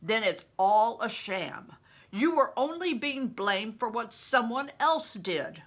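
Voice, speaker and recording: An older woman voicing a big, blustering, roughly kind male travelling performer, emphatic, gruff and teasing, in a storybook reading. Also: on the high side, lively intonation, slow, fairly bright tone, full character voice. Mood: teasing, insistent.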